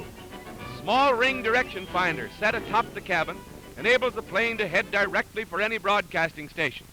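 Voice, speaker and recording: A man's voice: sits high, low-quality recording. A man announcing a scripted 1930s newsreel with dramatic, florid delivery on an old film soundtrack. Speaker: newsreel narrator. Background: music.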